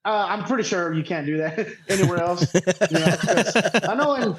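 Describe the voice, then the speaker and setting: A man's voice: high-pitched, expressive, moderate pace. A man chatting casually as a podcast guest, telling stories in a slangy, sweary, unpolished way. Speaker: podcast guest, cornhole player. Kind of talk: casual chat, storytelling.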